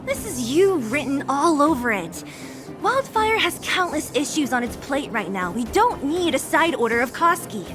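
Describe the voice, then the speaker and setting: A woman's voice: sits high, big pitch swings, moderate pace. A woman voicing a brash, scornful young street fighter in a video game, taunting and confrontational. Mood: irritated. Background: game music.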